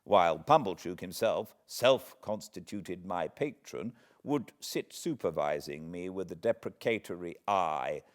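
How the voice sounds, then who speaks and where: A British man reading a classic novel aloud as an audiobook narrator, with expressive, deliberate phrasing. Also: low voice. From Britain. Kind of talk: dramatic literary storytelling, read aloud.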